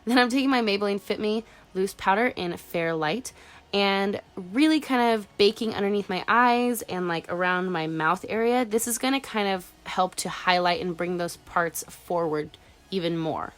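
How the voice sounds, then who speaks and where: A woman's voice: mid-range voice, normal speed, a bit bright. A young woman in her twenties with a congested cold voice, chatting casually through a makeup tutorial voice-over. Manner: chatty, casual, uses "like" a lot. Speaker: beauty vlogger.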